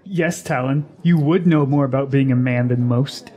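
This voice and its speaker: A man's voice: mid-range voice, normal speed, lo-fi sound, lightly acted. A man playing a boastful, lovesick young would-be warrior in a fantasy radio drama, emphatic and eager. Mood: taunting.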